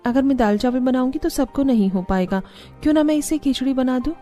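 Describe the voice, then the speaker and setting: A woman's voice: high-pitched, fairly quick, warm tone, faint music behind, lightly acted. A woman voicing a caring young village mother and daughter-in-law in a cartoon story. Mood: worried, tender.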